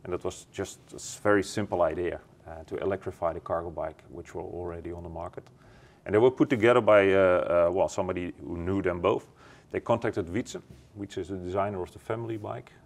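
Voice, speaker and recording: Dutch-accented man, low voice, hesitant. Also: creaky, darkish tone. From Netherlands. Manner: hesitant, many uh fillers and restarts. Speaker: company executive. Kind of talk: factory tour interview. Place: warehouse then glass-walled office.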